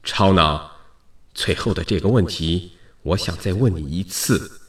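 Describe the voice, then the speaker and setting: A man's voice: low-pitched, a little slow. A man playing a far-future human soul in a science-fiction radio drama, grave and searching, with earnest, solemn questions. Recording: echoey voice over faint music. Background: faint music.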